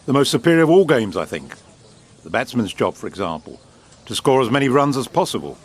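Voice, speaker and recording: An actor playing an urbane, evasive British establishment gentleman who speaks formally and with measured insinuation in an audio drama. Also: medium pitch, very animated, husky. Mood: musing.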